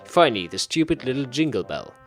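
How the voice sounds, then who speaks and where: Male gaming host, medium pitch, casual read-aloud playthrough style, talks to himself repetitively, soft music underneath. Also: very animated, a little fast. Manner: muttering to himself, repeating phrases. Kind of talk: casual playthrough commentary, reading game text aloud.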